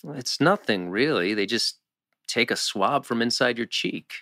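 A man's voice: mid-range voice, expressive, normal speed, sharp tone, no room sound. Teen drama boyfriend, played by a man: supportive and teasing, very varied intonation, dry sound. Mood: reassuring. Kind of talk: table read acting.

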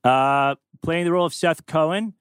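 A man's voice: fairly high pitch, moderate pace, smooth, no room sound, clean studio sound. A man hosting a live table read and narrating stage directions, tossing in wry, joking asides.

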